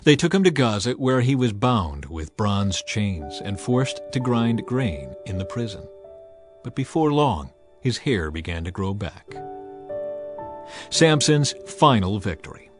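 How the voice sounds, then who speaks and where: A man reading a dramatized Bible as narrator, with measured, storytelling delivery. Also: lowish voice, very animated, faint music behind. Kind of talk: dramatized scripture narration, scripted.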